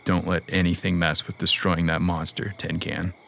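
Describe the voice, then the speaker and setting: A man playing a gruff but loyal cyberpunk mercenary in an audio drama, protective and dry, in an understated conversational delivery. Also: low voice, level tone, normal speed, bright, forceful tone, exaggerated voice. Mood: grim, resolute.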